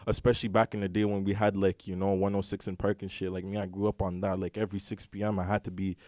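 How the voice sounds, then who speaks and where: A young man from Canada, a rapper, talking casually in an interview, relaxed and rambling with lots of slang and filler. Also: low-pitched, quick pace, dry recording, studio quality. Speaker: rapper guest.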